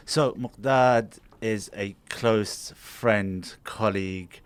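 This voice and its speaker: A man's voice: lowish voice, extremely slow, smooth. A man co-hosting a podcast who introduces a guest and prompts him with eager questions. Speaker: podcast co-host. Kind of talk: casual interview chat.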